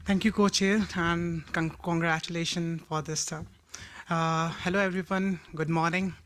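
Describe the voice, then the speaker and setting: Guy from india, higher voice, pretty flat, polite and a bit halting. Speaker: youth representative. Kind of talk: brief self-introduction.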